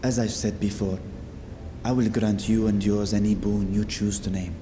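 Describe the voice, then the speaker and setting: A man playing a dignified, measured city ruler who speaks formally and deliberately in a video game cutscene. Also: on the low side, flat delivery, mellow, a little hiss or hum, natural voice. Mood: grave, measured.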